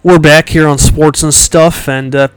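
A man's voice: on the high side, steady tone, unhurried, soft background music, poor audio. A man hosting a podcast in casual, rambling chat, with a nasal, congested-sounding voice and lots of fillers and restarts. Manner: rambling, many fillers and restarts, chuckles often. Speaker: podcast host.

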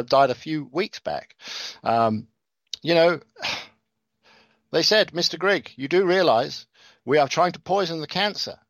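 A man's voice: fairly high pitch, fairly bright tone, no echo. Sardonic man rambling through headlines. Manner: sarcastic, rambling, stumbles over words and restarts. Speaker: podcast host reading news. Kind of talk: casual commentary on news articles.

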